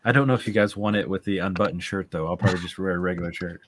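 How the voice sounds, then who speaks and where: A man in his thirties chatting casually on a video call as a co-host, in a laid-back, halting, informal way. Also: low-pitched, steady tone. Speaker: guest co-host on a live show. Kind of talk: casual chat, reminiscing.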